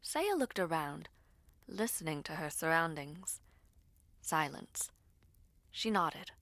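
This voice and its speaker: A woman reading a scripted dramatic story in a measured, hushed literary manner. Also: low-pitched, very animated, no echo.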